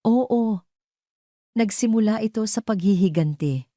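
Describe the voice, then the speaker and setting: A woman's voice: medium pitch, unhurried, warm tone, no room sound, clean studio sound, pitched above the performer's own voice, close to an everyday voice. A woman voicing a sincere, sorrowful friend in an audio drama, speaking earnestly and in a subdued way. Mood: earnest, protective. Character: sincere, sorrowful female friend confessing a vengeful plan.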